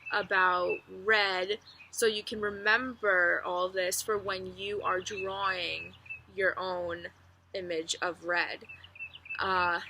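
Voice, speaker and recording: A woman's voice: medium pitch, pushed and bright. A woman speaking as a teacher to children during a read-aloud, outdoors, addressing them directly and expressively. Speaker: teacher reading aloud to students. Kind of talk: children's book read-aloud with explanatory asides. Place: outdoors among trees. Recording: outdoor ambient noise, faint birdsong. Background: birds and bugs.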